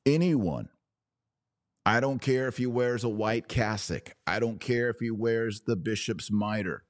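A man hosting a religious podcast, preaching in an emphatic, declarative way with deliberate pauses and repetition. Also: mid-range voice, no room sound, clean studio sound. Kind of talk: preaching monologue.

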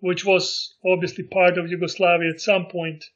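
A middle-aged man from Montenegro, recalling his life in a casual interview and speaking haltingly with many fillers and restarts. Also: high-pitched, flat delivery, fairly bright tone, muffled recording. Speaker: interview guest.

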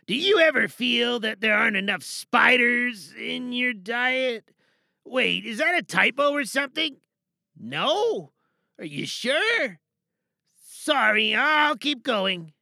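A woman's voice: fairly high pitch, gravelly, pushed and bright, no echo, clean studio sound, full character voice. A woman playing a small, cheerful, meek helper in an audio drama monologue, hesitant and apologetic, eager to please as they read out an advert. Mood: doubtful, hesitant. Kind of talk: monologue acting with stilted advert reading.

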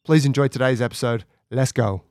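A man with a raspy voice from a cold, speaking conversationally as he introduces his podcast from home. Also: medium pitch, fairly quick, very noisy. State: has a cold. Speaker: podcast host.

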